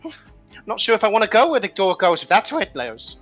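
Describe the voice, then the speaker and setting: A man voicing an excitable young street boy in a tabletop game, rambling and repeating himself as he spreads spooky rumours. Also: high-pitched, quick pace, lo-fi sound, faint music behind, pitched above the performer's own voice, full character voice. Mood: spooked, earnest.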